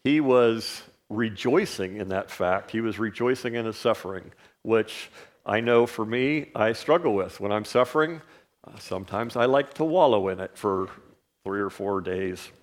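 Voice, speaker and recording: Lowish man teaching Bible casually. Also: normal speed. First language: not English. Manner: relaxed, many fillers, asks "right?" often.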